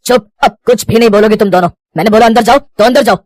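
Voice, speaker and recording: A woman's voice: fairly high pitch, quick pace, a little mellow, no room sound, clean studio sound, natural voice. A woman voicing a worried, exasperated elder brother in a family moral story. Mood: angry scolding. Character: exasperated young elder brother, worried about his sisters. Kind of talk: Hindi moral story voice acting.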